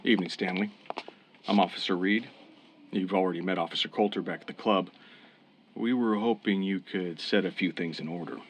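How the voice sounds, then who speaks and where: An actor playing a calm, fair-minded police officer in a radio crime drama, measured and authoritative. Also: male voice, deep voice, a little hiss or hum. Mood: calm, businesslike. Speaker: police interrogator. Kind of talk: old-time radio crime drama acting.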